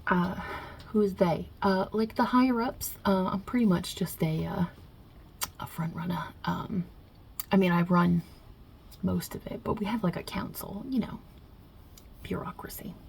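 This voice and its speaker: A woman's voice: lowish voice, a little slow, gravelly, pauses often, darkish tone, a little hiss or hum. A woman voicing God as a brash, short-tempered, non-binary Italian mob boss in an ASMR roleplay, with a put-on Italian-New York accent and a blunt, chummy, rambling delivery. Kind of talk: ASMR roleplay interview, improvised.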